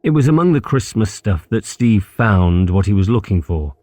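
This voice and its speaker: Deep, dark-voiced male audiobook narrator. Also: expressive, moderate pace, dry recording. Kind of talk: scripted literary narration.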